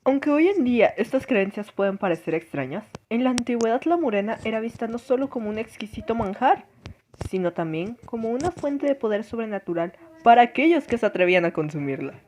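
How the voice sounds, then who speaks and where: A woman's voice: on the high side, expressive, brisk, a little mellow, a little hiss or hum, natural voice. A woman playing a strict, pedantic governess in a radio drama, with formal, stern delivery. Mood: lecturing. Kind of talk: scripted radio drama acting.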